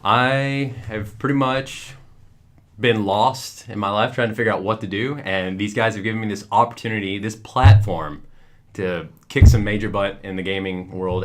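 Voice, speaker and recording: A man's voice: on the low side, steady tone, normal speed. A young man with a Western Kentucky accent, co-hosting a casual podcast with friends, upbeat and enthusiastic. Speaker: podcast co-host.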